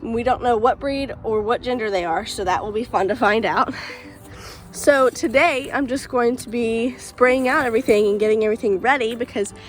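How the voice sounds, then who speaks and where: A chatty woman with a fairly high voice, a little stuffy and congested. She talks excitedly, with plenty of "um"s, while filming outdoors beside a shed. Faint music plays softly underneath. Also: normal speed. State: stuffy from allergies. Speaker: farm vlogger. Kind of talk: casual vlog.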